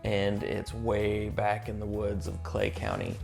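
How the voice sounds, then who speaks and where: A man casually telling a family memory in a halting, anecdotal way, as one relative sharing stories with others. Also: fairly low pitch, big pitch swings, gravelly, a little mellow, soft background music. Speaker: family member telling a story.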